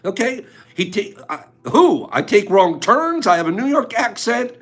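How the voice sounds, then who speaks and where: High, lively, playful livestream host man. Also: measured pace, soft background music. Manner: playful, teasing, talks straight to chat. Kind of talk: casual livestream chat with viewers.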